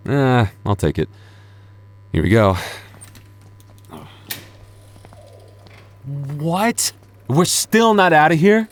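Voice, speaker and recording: A man playing a devoted, earnest young boyfriend in an intimate audio roleplay, speaking haltingly with stammers. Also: a little high, wildly swooping pitch, full character voice. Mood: exasperated, incredulous. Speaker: voice actor.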